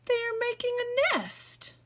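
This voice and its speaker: A woman's voice: very high voice, big pitch swings, slow, fairly bright tone, pitched above the performer's own voice, exaggerated voice. A woman voicing a cheerful, helpful little female pig in a children's storybook, in a matter-of-fact singsong. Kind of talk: children's storybook read-aloud.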